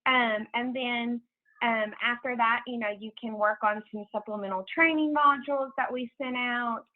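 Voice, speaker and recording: An American woman giving informal announcements as a program coordinator on a conference call, in a casual, halting way over a tinny phone line. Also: a little high, steady tone. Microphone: telephone conference call.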